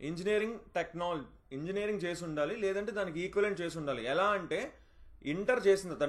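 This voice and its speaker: A man talks quickly in a fairly high-pitched voice. He explains things informally and instructively, slipping in English terms. He often checks in with the listener as he goes. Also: a bit bright. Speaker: job notification presenter.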